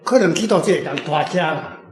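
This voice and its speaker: A Taiwanese man with a notably high voice talks as a guest author. He explains things casually and drifts through anecdotes, in a room with audible echo. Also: animated, a little fast. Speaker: author and guest answering questions.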